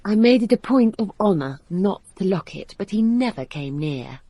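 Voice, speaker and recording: An actress playing a cultured, candid young woman in a British radio drama, speaking and narrating in a wistful, measured way. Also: medium pitch, expressive, dark tone, dry recording. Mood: wistful recollection. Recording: radio drama with clear background noise.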